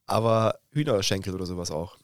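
Deep-voiced man with a slight cold. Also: no echo, clean studio sound. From Germany. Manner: relaxed, joking, halting with fillers. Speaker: podcast co-host. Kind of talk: casual chat.

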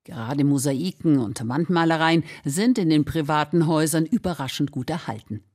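A woman's voice: low-pitched, moderate pace, darkish tone. A woman reading scripted narration for a radio feature as its reporter.

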